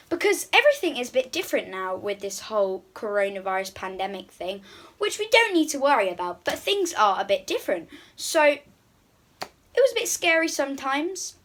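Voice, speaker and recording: A woman's voice: fairly high pitch, fairly bright tone. A woman hosting a read-aloud for young children, speaking to them directly and encouragingly. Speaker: children's story reader and host.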